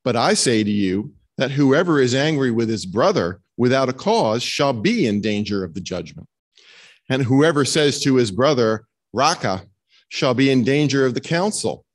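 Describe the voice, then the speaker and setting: A middle-aged man reading scripture and doctrine aloud as a minister, formal and measured, at a lectern microphone in a chapel. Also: mid-range voice, very animated. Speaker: minister leading a church service. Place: stone chapel, indoors. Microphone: lectern microphone on a stand.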